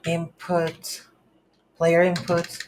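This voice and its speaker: A non-native English speaker teaches coding in a slow, halting way, thinking aloud step by step. The pitch is medium, and there is faint noise with keyboard typing behind the voice. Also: completely flat delivery. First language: not English. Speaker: programming tutorial instructor. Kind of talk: screen-recorded coding tutorial, unscripted explanation. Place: indoors at a computer.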